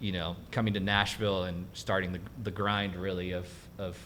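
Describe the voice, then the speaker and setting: A young man, raised in Mexico with Spanish as his first language, talks in a low voice. He tells his story with animated energy and often quotes himself. A faint rumble hums behind him. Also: moderate pace. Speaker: guest cinematographer. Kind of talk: casual interview chat.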